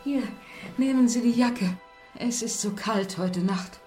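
An actress playing an anxious, motherly middle-aged landlady in a radio play, hushed and solicitous. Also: fairly high pitch, a little slow, lightly acted. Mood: tender, solicitous. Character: anxious, motherly landlady, secretly drawn to her lodger. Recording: roomy radio drama sound with music.

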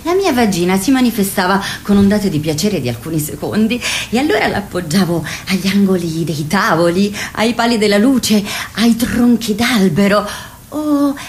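A woman's voice: mid-range voice, lively intonation, normal speed, a little hiss or hum, lightly acted. An actress playing a frank, earnest woman confessing in group therapy, in a radio theatre style.

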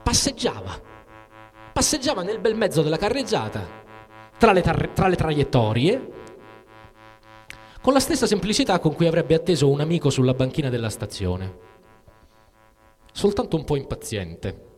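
A man's voice: a little high, very animated. Intense, wide-ranging male soldier narrator. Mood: wry, incredulous. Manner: halting, repeats words, breaks off mid-phrase. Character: frightened, cynical male soldier narrator at war. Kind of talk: dramatic literary monologue set to music. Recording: music under the voice. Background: music.

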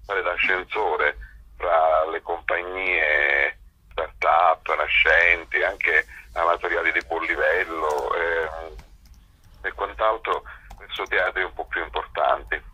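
A man speaking as a festival director in a spontaneous radio interview, with a rough, forceful voice. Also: low voice, steady tone, takes it slowly, rough recording.

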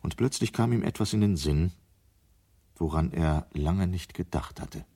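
A man's voice: sits low, unhurried, vocal fry, dark tone. A man narrating a radio drama in a scripted, literary storytelling style.

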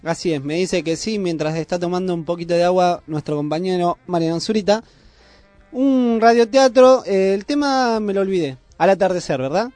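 A man's voice: high voice, normal speed, a little mellow, no room sound. A man with a Buenos Aires accent hosting a radio show, casually presenting segments and prompting colleagues. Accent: Buenos Aires Argentine. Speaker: radio host. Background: music.

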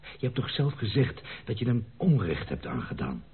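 Blunt fatherly circus performer for radio drama: low, very rough voice, scolding yet coaxing, muffled old recording. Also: very animated, normal speed, heavily acted. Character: blunt, fatherly circus man urging reconciliation. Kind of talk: Dutch radio play acting.

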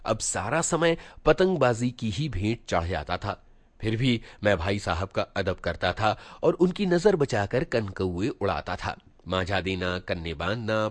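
Man narrating a story with lively intonation. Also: medium pitch, no room sound. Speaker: narrator reading a short story for a blog. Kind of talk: expressive literary storytelling narration.

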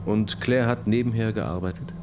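An actor playing a calm, considerate police detective, measured and matter-of-fact, in a radio crime drama. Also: male voice, lowish voice, background noise, lightly acted. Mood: serious, probing. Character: calm, considerate police detective, firm but sympathetic questioner.

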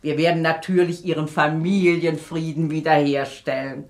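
A woman's voice: low voice, level tone, moderate pace. An actress playing a relentlessly cheerful, preachy and patronising society charity lady in a German radio comedy. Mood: briskly cheerful. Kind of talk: German radio comedy crime drama acting.

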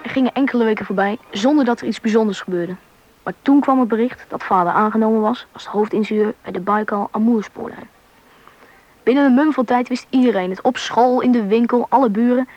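An actor with a woman's register playing an eager, curious schoolboy in a radio play, chatty and husky. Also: a little high, lo-fi sound, natural voice. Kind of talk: radio play acting with first-person narration.

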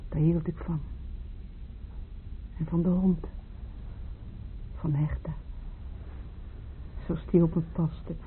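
An actress in a radio drama playing a grieving, weary psychiatric patient who speaks haltingly, fixated on her dreams. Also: deep voice, little pitch movement. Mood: wistful. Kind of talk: Dutch radio drama acting. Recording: tinny, band-limited, hissy radio play recording.

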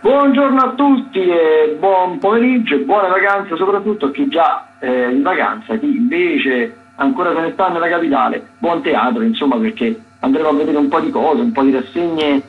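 A man's voice: on the high side, animated, moderate pace, few pauses, phone-quality sound, faint music behind. A man giving a conversational radio round-up of events as a regular critic, chatty and digressive.

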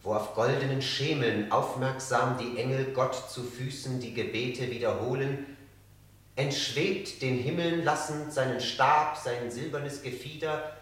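A man's voice: mid-range voice, measured pace, a bit bright, close to an everyday voice. An actor playing an earnest poet solemnly reciting his verse in a radio play, heard echoing from a distance. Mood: solemn, rapt. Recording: very echoey, distant from microphone.

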